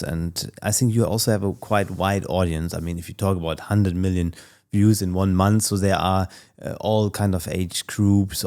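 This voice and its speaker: Low-voiced man hosting an interview. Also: darkish tone, clean tone. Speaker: podcast host, interviewer. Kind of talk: interview questions.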